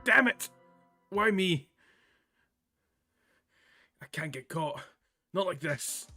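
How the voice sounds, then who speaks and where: A desperate murderer from a video game, scheming to pin the crime on another, voiced by a male streamer. The delivery is dramatic and panicked, with a bright, tense edge. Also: on the high side, animated, slow pace, no room sound, soft background music, heavily acted.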